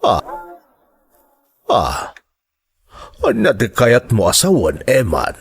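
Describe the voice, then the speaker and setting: A man playing a grieving, gentle middle-aged father in a radio drama, with a halting, earnest way of speaking. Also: medium pitch, wildly swooping pitch, vocal fry, exaggerated voice. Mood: troubled, concerned.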